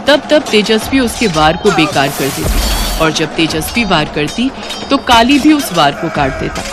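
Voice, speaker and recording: A woman narrating a scripted fantasy story in a dramatic style. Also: lowish voice.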